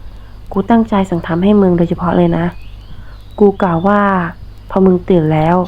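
A woman with a nasal voice voicing an intense young man slowly confessing his love, recorded muffled from a distance. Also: lowish voice, fairly even intonation, close to an everyday voice. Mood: tender. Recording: muffled, distant home mic.

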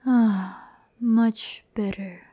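A woman playing a slow, haughty demon girl. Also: on the high side, steady tone, warm tone, dry recording. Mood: smug, weary. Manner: slow, condescending, teasing, often dropping to a whisper. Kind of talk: breathy ASMR audio roleplay. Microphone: close microphone, dry sound.

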